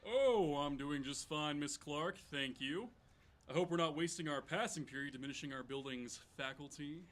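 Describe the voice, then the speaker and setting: An actor playing a falsely genial, condescending school principal with a measured delivery in an audio drama. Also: male voice, a little high, a bit bright, lightly acted. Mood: smug, genial.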